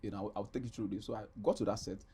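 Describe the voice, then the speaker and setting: A man's voice: fairly low pitch, fairly even intonation, very fast, warm tone, breathy edge. Male interview guest, middle-aged, Nigerian accent, emphatic conversational storyteller. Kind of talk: animated personal storytelling in conversation.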